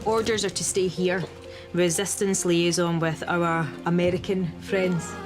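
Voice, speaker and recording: An actress playing a steady, friendly wartime Resistance liaison in a video game. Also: female voice, fairly low pitch, unhurried, over music, close to an everyday voice. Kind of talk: video game cutscene acting.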